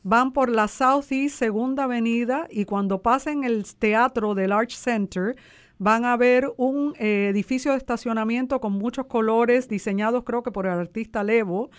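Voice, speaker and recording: Woman interview guest explaining her arts group, voice on the higher side. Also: normal speed. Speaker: guest, arts organization founder. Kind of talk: promotional interview talk, explanatory.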